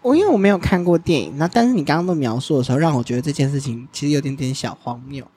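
Breathy male podcast host. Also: a little high, lively intonation, racing, warm tone. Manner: chatty, curious, laughing between questions. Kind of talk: casual podcast interview.

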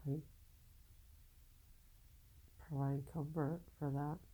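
A woman podcaster speaking off the cuff in a halting, confessional way, candid and casually profane, with a low husky voice and a downcast, weary air. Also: flat delivery, warm tone, poor audio. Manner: halting, searching, with long pauses. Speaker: podcast host. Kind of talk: unscripted personal monologue, confessional.

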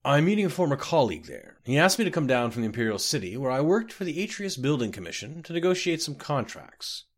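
A man's voice: mid-range voice, very animated, quick pace. Man playing an anxious, polite city clerk. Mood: polite, earnest. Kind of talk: audiobook character voice.

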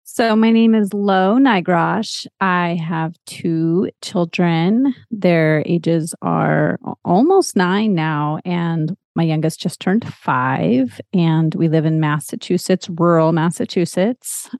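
A woman in her forties from Texas speaks in a fairly low, earnest voice. She tells her story openly and repeats words for emphasis. The sound is dry and close, with no room in it. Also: takes it slowly, few pauses, a little mellow, no echo. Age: 40s. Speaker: lactation counselor guest. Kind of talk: podcast interview, personal storytelling.

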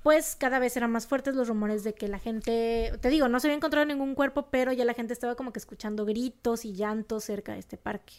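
A woman's voice: on the high side, quick pace, few pauses. A young woman with a northern Mexican accent from Monterrey, co-hosting a podcast and telling a true crime story in a slangy, emphatic, casual way. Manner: slangy, emphatic, animated outbursts.

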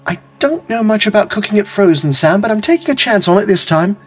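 A man voicing a young wife who talks in a forced, bright, high tone, with a husky voice. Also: lively intonation, brisk, soft background music. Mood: forced cheer.

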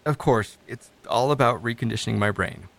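A man's voice: mid-range voice, fairly quick, gravelly, a bit bright, no echo. An actor playing a despairing but earnest man in therapy, speaking hesitantly and vulnerably in a scripted audio drama. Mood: subdued, tentative. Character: despairing, self-doubting, earnest male therapy client. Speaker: actor in a fiction podcast.

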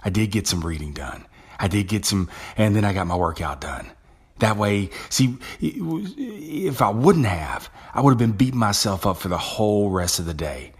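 Male podcast host, deep husky voice, conversational and self-talking, stumbles and restarts. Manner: casual, conversational, with frequent restarts. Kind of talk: motivational monologue.

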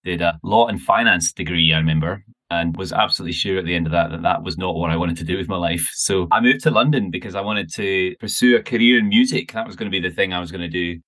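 A middle-aged Glaswegian man with a deep, low voice that still comes across bright and forceful. He answers interview questions in a chatty, self-deprecating way and thinks aloud as he goes. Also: fast talker, few pauses. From Scotland. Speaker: guest.

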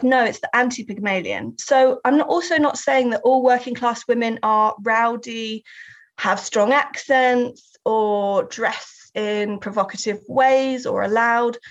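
A Southern English woman speaks as an interview guest, working through her ideas with frequent short laughs and restarts. Her voice sits a little high. The recording is slightly dull and lo-fi. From England. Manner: thoughtful, laughs often, restarts sentences. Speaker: guest artist and writer. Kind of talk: reflective interview talk.

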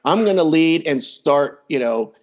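Guy coach on a podcast, voice a bit high, casual straight advice, does little example quotes. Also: expressive, a little slow. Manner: plain-spoken, acts out example quotes. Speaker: dating coach, podcast guest.

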